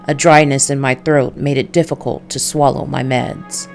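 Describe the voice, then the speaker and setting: A woman voicing a weary, hard-boiled male detective, narrating a noir visual novel in a measured, brooding way. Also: extremely low pitch, level tone, vocal fry, darkish tone, faint music behind. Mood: guarded.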